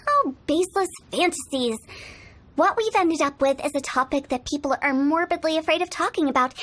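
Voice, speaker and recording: A woman voicing a mischievous young female funeral parlor director in a game, playful and teasing, with spooky jokes and a salesy pitch. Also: fairly bright tone. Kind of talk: video game voice acting. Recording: game audio with faint music. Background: faint game music.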